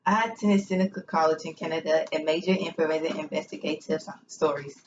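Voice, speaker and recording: A woman's voice: deep voice, steady tone, a little fast, lightly acted. A young woman playing a sincere investigator guest in a scripted amateur interview. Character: sincere female crime scene investigator guest. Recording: muffled room recording with faint hiss.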